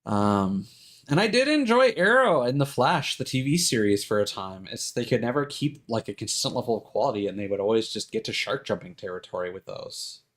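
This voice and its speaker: A man's voice: medium pitch, expressive, fairly quick, a bit bright. A man chatting casually as a live streamer, rambling and thinking aloud with hesitant self-corrections.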